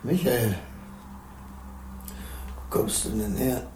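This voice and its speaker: A frail old uncle gasping in a sickbed. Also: male voice, mid-range voice, lively intonation. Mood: weak, surprised. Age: older. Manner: weak, halting, strained speech. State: short of breath. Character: sick old uncle lying in bed, frail and agitated. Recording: echoey room with noise behind.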